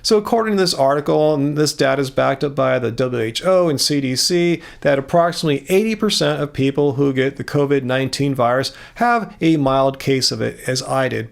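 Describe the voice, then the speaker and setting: Gravelly-voiced American man, slightly high, earnest casual vlogger. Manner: earnest and explanatory, with frequent restarts. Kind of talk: casual vlog talk to camera.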